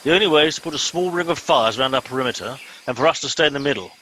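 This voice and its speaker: Bright, pompous captain played by a man. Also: medium pitch, slight background noise, natural voice, low-quality recording. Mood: commanding. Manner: brisk, officious, commanding. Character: pompous middle-aged male captain of a bumbling crew. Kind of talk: comedy radio play acting.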